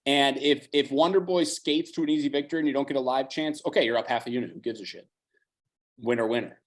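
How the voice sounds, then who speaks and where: A slightly hoarse man co-hosting a podcast, talking bets in a fast, analytical, casual way with the odd swear. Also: mid-range voice, fairly even intonation. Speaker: podcast co-host. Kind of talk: casual betting chat.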